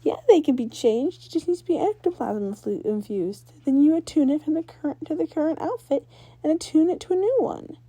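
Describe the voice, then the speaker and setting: A brash teenage ghost girl with a rock-star swagger, acted out by a woman reading a story. She is blunt, slangy and teasing. The voice is high and soft-edged, recorded close and dry. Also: expressive, brisk, dry recording. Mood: matter-of-fact.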